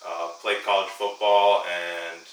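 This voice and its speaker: Deep-voiced twenty-year-old man, echoey room. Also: flat delivery, slow pace, a bit bright, slight background noise. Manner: loose and rambling, many fillers. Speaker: podcast host. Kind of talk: casual chat. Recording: echoey room, mic at a distance.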